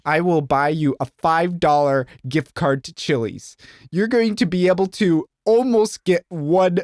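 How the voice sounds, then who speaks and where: A man's voice: fairly high pitch, measured pace, a little mellow, no room sound, studio quality. A young man from Southern Illinois, co-hosting a podcast in a casual, rambling way, full of fillers and jokes. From Southern Illinois, USA. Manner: rambling, heavy use of "like" and "um", joking. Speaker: podcast co-host. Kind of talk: casual chat.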